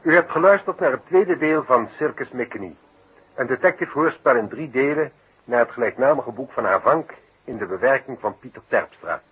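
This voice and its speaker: A man's voice: mid-range voice, expressive, fairly quick, breathy edge. A man reading cast credits as a radio announcer, in a formal, scripted 1960s style. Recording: muffled, noisy old radio recording.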